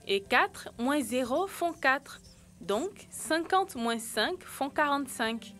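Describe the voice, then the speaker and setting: A woman's voice: high voice, animated, takes it slowly, a bit bright, soft background music, heavily acted. A woman voicing an earnest, helpful young animal in a French educational cartoon, explaining things step by step.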